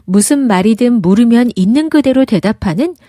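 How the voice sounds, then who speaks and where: A woman reads a children's fairy tale aloud. Her voice is fairly high and very animated, with storybook expression and a close, dry sound. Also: moderate pace, no echo. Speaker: storybook narrator.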